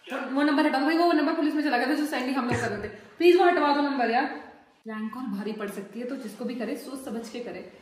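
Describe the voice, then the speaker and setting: A woman's voice: sits high, fast, slightly rough. A woman in her twenties chatting casually to viewers as the host of a prank vlog. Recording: faint noise behind voice.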